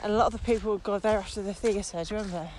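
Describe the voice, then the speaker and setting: A woman's voice: medium pitch, steady tone, racing. A middle-aged woman co-hosting a podcast, chatting enthusiastically and laughing easily while walking outdoors in a field. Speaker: podcast co-host. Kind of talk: casual chat while walking. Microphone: camera far behind, walking away. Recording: loud outdoor background noise.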